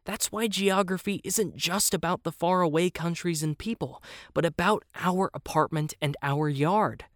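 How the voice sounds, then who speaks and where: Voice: mid-range voice, normal speed, few pauses, no echo, clean studio sound, voice pushed up, close to an everyday voice. A storyteller voicing a self-important child who plays at being an explorer, speaking emphatically in a children's story. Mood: proud, explaining. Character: earnest, bossy child explorer playing geographer.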